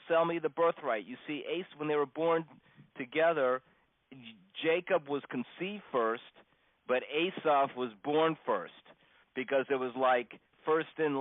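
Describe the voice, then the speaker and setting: Halting male rabbi on a dull line. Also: medium pitch, no room sound. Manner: halting, stammering restarts and frequent pauses. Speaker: rabbi teaching a class. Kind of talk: informal religious lesson, unscripted storytelling. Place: teaching over a conference phone call. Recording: dull, dry conference call line.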